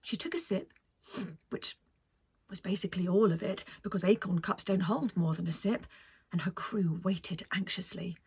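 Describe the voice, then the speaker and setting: A woman reading a children's story as a storyteller, expressive, with dramatic pauses. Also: lowish voice, breathy edge, dry recording. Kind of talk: scripted children's story narration.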